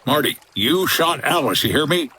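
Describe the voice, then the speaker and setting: An actor playing a cool-headed tough boat pilot, terse, wry and commanding, in a radio drama parody. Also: male voice, fairly high pitch, expressive, moderate pace, heavy background noise, lightly acted. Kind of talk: old-time radio detective drama parody.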